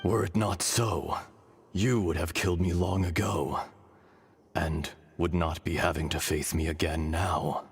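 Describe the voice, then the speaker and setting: An age-old dragon spirit from a video game, very deep and slow. The delivery is solemn and fatalistic, in archaic phrasing, over background music. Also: male voice, fairly even intonation, slightly rough, exaggerated voice. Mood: grave, fatalistic. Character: ancient male elemental dragon spirit, grave and fatalistic. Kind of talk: scripted video game dialogue. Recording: game audio with music behind.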